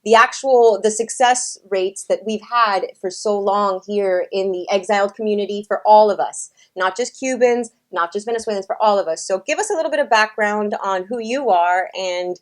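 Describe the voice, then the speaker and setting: A woman's voice: mid-range voice, moderate pace, few pauses. A woman hosting a political interview show, talking in an emphatic, indignant way. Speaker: talk show host.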